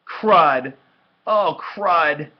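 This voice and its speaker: A sullen, humiliated young boy from a storybook, acted out by a man, snapping petulantly at his sister. The delivery is loud and emphatic, with big swings in pitch and a husky edge. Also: sing-song, moderate pace, muffled recording. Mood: pained, shouting.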